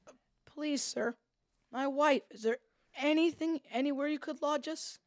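A pleading, courteous husband in a children's nativity drama played by a young amateur. The voice is on the high side and recorded dry. He sounds earnest and in need. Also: measured pace, dry recording. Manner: pleading, respectful. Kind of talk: children's radio-play style Bible dramatization.

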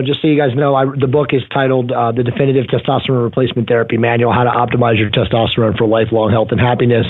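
A man in his forties, a podcast guest talking casually and rambling with many fillers and restarts. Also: medium pitch. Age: 40s. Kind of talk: casual interview talk. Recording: tinny phone line.

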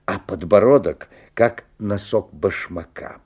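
An actor playing a genial father who narrates a story in a 1970s radio play, theatrical, with deliberate pauses. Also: on the low side, darkish tone, husky. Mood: storytelling, amused. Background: faint music.

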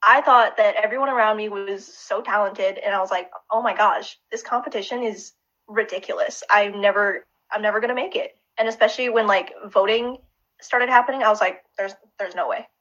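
A woman who is a finalist guest, chatting excitedly in a casual way. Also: mid-range voice, brisk, lo-fi sound. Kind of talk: casual chat.

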